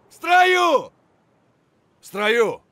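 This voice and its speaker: An actor playing an aggressive, foul-mouthed Russian soldier barking urgent, coarse shouts in a military shooter game. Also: male voice, extremely high pitch, very animated, very slow, dry recording, full character voice.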